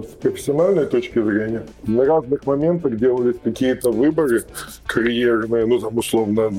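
A man's voice: mid-range voice, dark tone, rough recording. A man with a strained voice speaking as a podcast guest, giving hesitant, reflective answers that circle around the point. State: voice strained after losing it the day before. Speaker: podcast guest, professional trainer. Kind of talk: reflective interview talk.

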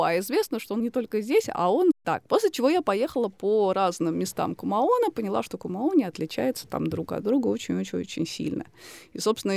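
Fast-talking woman with creaky voice. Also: mid-range voice, a little mellow, dry recording. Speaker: guest linguist describing her field research. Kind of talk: conversational interview storytelling.

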